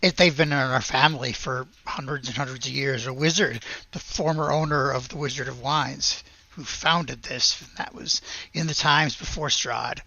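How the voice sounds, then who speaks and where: A game master improvising tabletop narration in a casual, halting, conversational way. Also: mid-range voice, fairly even intonation, vocal fry, bright, forceful tone, highs cut off.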